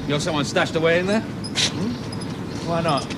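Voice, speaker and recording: An actor playing a brash, domineering research team boss in a 1970s television drama, commanding, showy and sardonic. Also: male voice, a little high, normal speed, very noisy, natural voice. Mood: breezy, wry. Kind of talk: 1970s British TV drama acting. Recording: 1970s TV soundtrack, loud background bustle.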